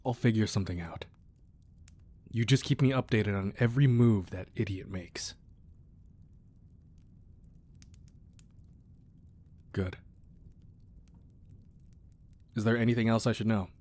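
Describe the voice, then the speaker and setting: A man playing a weary, menacing crime boss with a tender side, in a controlled, deliberate ASMR roleplay delivery. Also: on the low side, a little mellow, close to an everyday voice. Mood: stern, menacing. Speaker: audio roleplay voice actor. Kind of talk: ASMR roleplay acting, close and dramatic.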